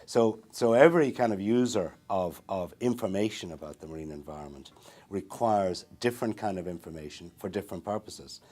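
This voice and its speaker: A man's voice: low-pitched, brisk, darkish tone. A man, explaining ideas in long, thoughtful sentences during an interview. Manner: thoughtful, many fillers and restarts, long building sentences. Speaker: marine research interviewee. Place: small indoor interview room.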